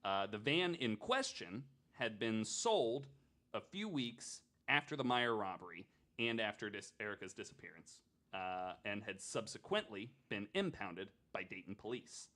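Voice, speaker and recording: Male podcast host, on the low side, relaxed conversational true crime narrator, informal and jokey. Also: a little slow. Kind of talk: casual true crime storytelling chat.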